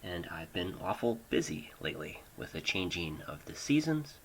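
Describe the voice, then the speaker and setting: An American man hosting an amateur radio show, narrating in deliberate, drawn-out phrases with pauses, recorded at home on a hobbyist microphone. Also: sits low, sing-song, a bit bright, a little hiss or hum, rough recording. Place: home studio. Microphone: older hobbyist microphone.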